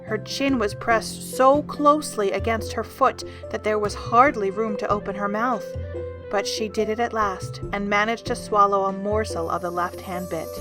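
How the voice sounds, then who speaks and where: A woman reading scripted storybook narration for an audio drama, as an expressive storyteller. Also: medium pitch, normal speed. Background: music.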